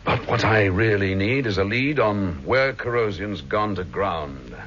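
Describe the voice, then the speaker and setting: An actor playing a humane, hard-hitting Scotland Yard detective, brisk and thoughtful, in 1960s radio drama style. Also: male voice, lowish voice, animated, a bit bright, a little hiss or hum. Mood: pensive. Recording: muffled vintage radio recording.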